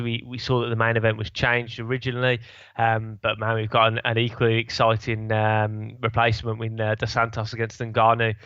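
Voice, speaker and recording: A man's voice: medium pitch, fairly bright tone, clean tone, no room sound, highs cut off. A man with a sore throat co-hosting a fight podcast, chatting casually and rambling with many restarts. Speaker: podcast co-host, fight analyst. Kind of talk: casual chat.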